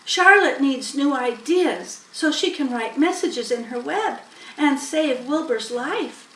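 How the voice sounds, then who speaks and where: A woman's voice: high-pitched, expressive, slow, a bit bright, roomy sound, far from the mic, pitched above the performer's own voice, exaggerated voice, rough recording. A woman voicing a shrewd old sheep who lectures firmly and emphatically in a storybook. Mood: stern, lecturing. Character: old female sheep, shrewd, firm, persuasive barn elder.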